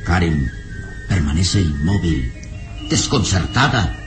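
A man's voice: sits low, big pitch swings, slow pace, music in the background. A man narrating a radio drama in a solemn, literary, suspenseful manner.

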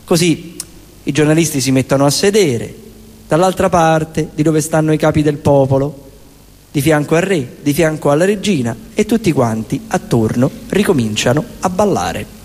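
A man's voice: fairly high pitch, moderate pace, a little mellow. A man with a Roman Italian accent performing live comic musical storytelling in a colloquial, theatrical way. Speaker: stage performer and singer-songwriter. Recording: reverberant live stage with music. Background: guitar music.

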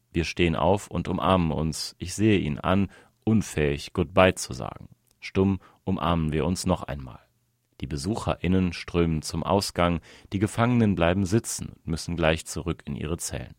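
A man's voice: low-pitched, dry recording, clean studio sound. A man reading a written article aloud as scripted narration. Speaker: reader of a translated magazine article.

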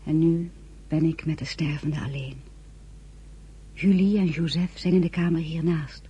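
An actress playing an earnest, tender young woman who tells her own story in a radio drama, hushed and intimate. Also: low-pitched, fairly even intonation, a little slow, husky, highs cut off, full character voice. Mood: somber. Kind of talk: dramatic first-person narration, radio acting.

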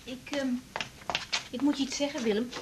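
An actress playing a young doctor, understated and hesitant, in a naturalistic radio drama. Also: female voice, high-pitched, steady tone, moderate pace, noisy background, close to an everyday voice. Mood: tentative. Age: young adult. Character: young female doctor, hesitant, dry, matter-of-fact.

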